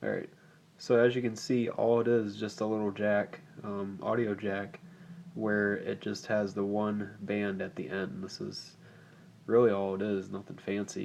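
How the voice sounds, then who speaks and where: A man's voice: on the low side, vocal fry, darkish tone. A man giving an informal, unscripted how-to demonstration as a hobbyist video host, explaining somewhat hesitantly as he goes. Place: indoors at home. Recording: faint background noise.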